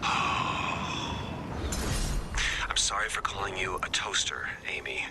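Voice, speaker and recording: A man's voice: low-pitched, expressive, slow, heavy background noise. An actor voicing a wisecracking male video game hero, with a wry, bantering manner. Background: game music.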